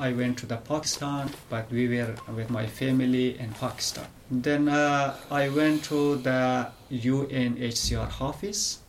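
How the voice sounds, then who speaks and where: A middle-aged man from Afghanistan, a guest in a radio interview, telling his life story in halting full of pauses and fillers. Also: medium pitch. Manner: halting non-native English with many pauses and fillers. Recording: faint background noise.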